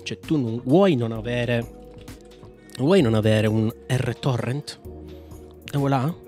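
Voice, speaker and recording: A man from Italy hosting a casual software walkthrough, chatting informally and thinking aloud as he tries commands. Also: medium pitch, slow, faint music behind. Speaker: tech tutorial host. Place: indoor room at a computer, screencast with small webcam.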